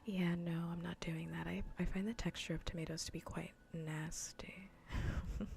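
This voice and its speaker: Low female voice, breathy near-whisper, fry, rambling casual ASMR chat, playful, close mic. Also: steady tone, moderate pace, slight background noise. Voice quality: soft, breathy, often whispered. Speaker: streamer hosting a live cozy gaming broadcast. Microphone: close ASMR microphone.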